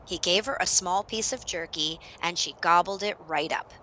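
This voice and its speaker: A woman narrating a children's story in an expressive read-aloud style, with dramatic emphasis on action words. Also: fairly low pitch, normal speed, a little hiss or hum, poor audio.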